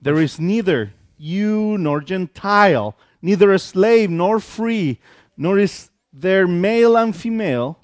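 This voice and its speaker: Mexican preacher, close dry sound. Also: male voice, high voice, lively intonation, takes it slowly, dry recording. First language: Spanish. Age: middle-aged. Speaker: pastor, guest preacher. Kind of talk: sermon delivered in short phrases for an interpreter.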